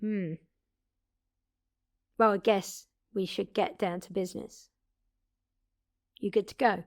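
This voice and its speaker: A woman's voice: mid-range voice, fairly even intonation, takes it slowly. A woman playing a blunt, wry immortal vampire mentor in a one-sided audio drama, conversational and teasing in her delivery. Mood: curious, brisk.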